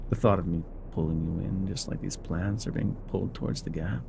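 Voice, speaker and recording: Casting: male actor as weathered explorer-guide for ASMR roleplay, low-pitched with gravelly fry, wry and mysterious, musing hesitantly. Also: fairly quick, dark tone, slight background noise. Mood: teasing, probing. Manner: musing, hesitant, teasing, trailing off. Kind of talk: intimate ASMR roleplay acting.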